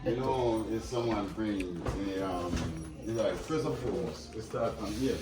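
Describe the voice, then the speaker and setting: Jamaican chief guy, really low voice, kinda far off, echo and music in the back. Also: moderate pace. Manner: affirming often, short replies. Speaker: maroon chief. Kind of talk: casual conversation. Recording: echoey, off-mic with music behind.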